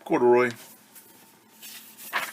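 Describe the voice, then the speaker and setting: A man teacher reading a picture book aloud to children, animated and stopping to explain words and ask questions. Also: slow pace. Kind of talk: children's story read-aloud.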